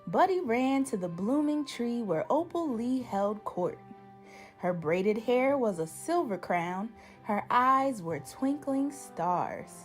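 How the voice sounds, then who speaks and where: A woman reading a children's storybook aloud as scripted narration, with expressive, deliberate phrasing. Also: fairly high pitch, soft background music. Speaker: children's book reader.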